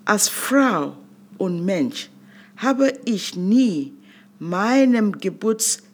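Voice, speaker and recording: An older woman from Cameroon, whose first language is not German, reading her own memoir aloud in a deliberate way with long pauses. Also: medium pitch, lively intonation, slightly rough. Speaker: autobiographical reader.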